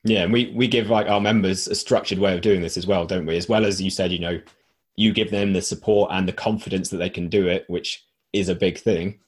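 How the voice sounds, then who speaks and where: A man's voice: low voice, steady tone, a little fast, breathy edge. A man co-hosting a podcast, talking casually and explaining ideas through everyday analogies while losing his voice. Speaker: podcast co-host.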